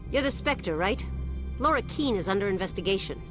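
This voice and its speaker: A woman's voice: medium pitch, lively intonation, a little fast, over music, close to an everyday voice. A woman voicing a wary corporate security guard in a video game, brusque and informal. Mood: commanding.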